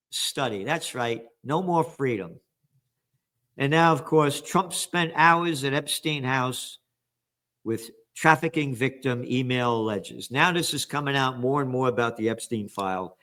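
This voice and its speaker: An older man hosting a news commentary, reading headlines and ranting with angry, sarcastic, profane remarks that swing from low mutters to shouts. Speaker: trends commentator and host.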